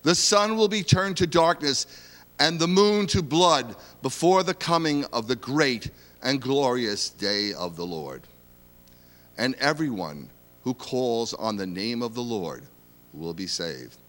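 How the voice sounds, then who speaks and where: Guy reading scripture real slow, lots of rise and fall, big echoey hall. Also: mid-range voice. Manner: measured, expressive reading. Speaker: scripture reader. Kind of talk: Bible reading. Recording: reverberant hall.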